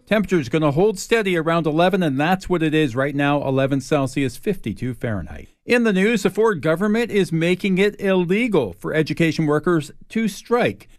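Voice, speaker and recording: A man's voice: a little high, expressive, fairly quick, few pauses, no echo. A man reading scripted news as a radio anchor.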